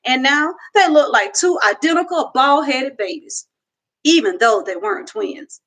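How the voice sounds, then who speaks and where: A young woman narrates a children's chapter book slowly and expressively, her bright, high voice rising and falling. She reads at home into a webcam. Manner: slow, expressive storytelling. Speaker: reader. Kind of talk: children's book read-aloud. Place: home room, indoors.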